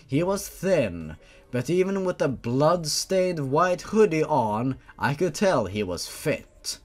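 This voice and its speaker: A man's voice: a little high, big pitch swings, slow. A man hosting a dramatic read-aloud of a horror story as its narrator. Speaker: host reading a fan horror story aloud.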